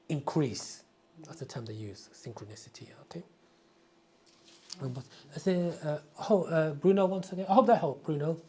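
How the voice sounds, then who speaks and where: A man's voice: mid-range voice, darkish tone, a little hiss or hum. A man hosting a live spiritual question and answer session, explaining ideas patiently and repeating key phrases.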